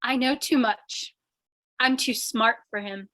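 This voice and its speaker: Woman acting a bright, high-voiced scheming dame. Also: takes it slowly. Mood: brazen, seductive. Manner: theatrical, persuasive. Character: quick-witted woman captive, scheming, flattering and bold. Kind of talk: 1940s radio comedy-thriller acting.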